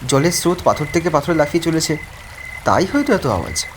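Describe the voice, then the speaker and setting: A man's voice: a little high, big pitch swings, a little fast, background noise. A man narrating a first-person horror story from a script. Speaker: narrator of an animated ghost story.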